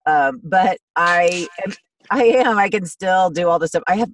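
Low raspy woman in her fifties. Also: expressive, a little slow, far from the mic, a little hiss or hum. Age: 50s. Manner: chatty, rambling, self-deprecating. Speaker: voiceover actor guest. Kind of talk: casual podcast interview.

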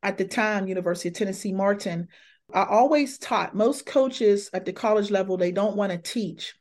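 A woman in her fifties sits as a guest and recounts her path from coaching to ministry. She speaks in an easy conversational way at a middle pitch. She brings her stories alive by acting out the lines of the people she quotes. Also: moderate pace. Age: 50s. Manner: lively, acts out quoted dialogue. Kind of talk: conversational storytelling interview.

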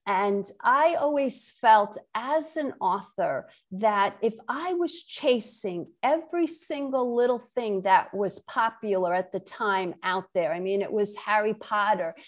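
A middle-aged woman with a Brooklyn accent, speaking conversationally as an author and guest in an interview. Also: medium pitch. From Brooklyn, New York.

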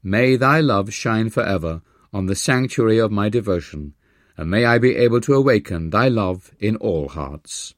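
A male narrator plays a devout young disciple in an audiobook memoir. The voice is fairly low, fervent and respectful, heard close and dry. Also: normal speed, no echo, lightly acted.

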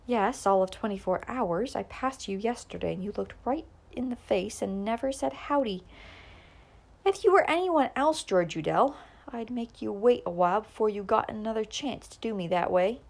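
A woman's voice: medium pitch, animated, normal speed, gravelly, darkish tone. A woman voicing a determined young sweetheart. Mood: coaxing. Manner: coaxing, earnest.

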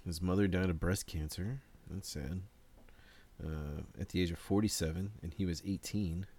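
Deep-voiced man cohosting a casual podcast. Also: fairly even intonation, normal speed, darkish tone. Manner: laid-back, jokey, laughs often. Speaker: podcast co-host. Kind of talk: casual chat.

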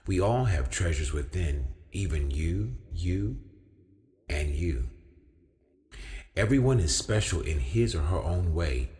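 A young man delivering an inspirational spoken-word monologue as a storyteller, with deliberate, measured phrasing and emphatic pauses. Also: sits low, lively intonation, a little mellow. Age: young adult. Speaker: featured storyteller. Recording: long hall-like reverb on the voice.

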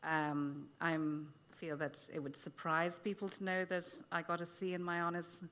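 A woman from Ireland with an RP accent, a literature lecturer speaking in a measured, reflective way on a live panel. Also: on the low side, level tone, a bit bright. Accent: Received Pronunciation. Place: small museum event room.

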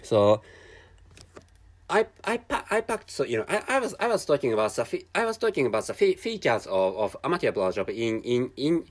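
A man's voice: medium pitch, very animated. A man with a Japanese accent, a native Japanese speaker, talking alone on his own podcast and repeating words as he searches for them. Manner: halting, many restarts and repeated words. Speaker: podcast host. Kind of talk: casual solo podcast monologue. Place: at home, drinking a canned drink while recording.

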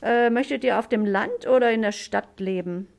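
A woman from Germany, a German teacher hosting a classroom podcast, asking simple questions slowly with many fillers. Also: fairly high pitch, vocal fry, darkish tone.

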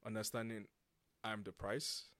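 A young man co-hosting a casual faith-based podcast, animated and teasing, tossing in "bro" often. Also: fairly low pitch, a little slow, no room sound. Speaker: podcast co-host.

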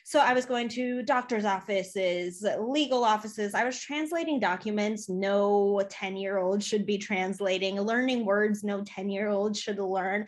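A woman's voice: medium pitch, measured pace, hardly any pauses. An American woman in her thirties telling personal stories as a podcast guest, in a chatty, informal way. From United States, born in Poland, grew up in Chicago. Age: 30s. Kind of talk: casual personal storytelling.

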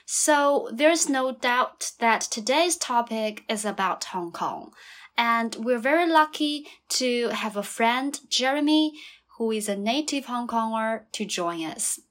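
High-voiced woman hosting a podcast. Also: expressive, takes it slowly, fairly bright tone. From China. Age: late 20s. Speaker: podcast host. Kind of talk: podcast interview, prepared questions.

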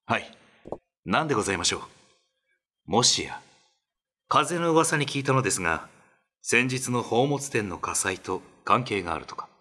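A man's voice: medium pitch, animated, moderate pace, long pauses, bright, forceful tone. A man acting a dutiful, self-assured prince in a radio drama, composed and formal in manner. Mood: respectful.

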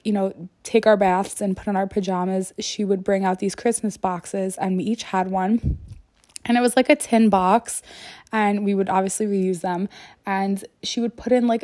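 A woman hosts a solo podcast in a casual, chatty way. Her mid-pitched voice is still recovering from an illness, and she speaks earnestly. The sound is close and dry, with no room echo. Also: dark tone. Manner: conversational, frequent "like" and "you know". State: voice not fully back after illness. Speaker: podcast host.

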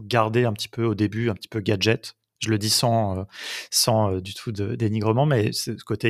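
A man's voice: mid-range voice, no echo, clean studio sound. A man hosting a podcast, reading a scripted intro and then asking questions with fillers and restarts. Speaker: podcast host. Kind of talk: podcast interview.

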